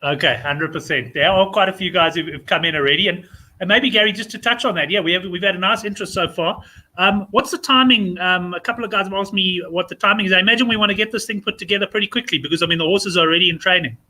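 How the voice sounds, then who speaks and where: South african guy hosting a chat, high raspy voice, talks fast, matey banter. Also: bright, forceful tone, rough recording. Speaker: show host. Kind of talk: casual chat.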